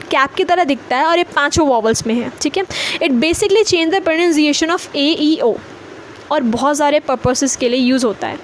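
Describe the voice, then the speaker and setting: High-voiced young Indian-accented woman teaching in a chatty, emphatic way; light noise underneath. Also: poor audio. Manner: chatty, emphatic, repeats points. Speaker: language teacher. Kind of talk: casual online lesson mixing Hindi and English.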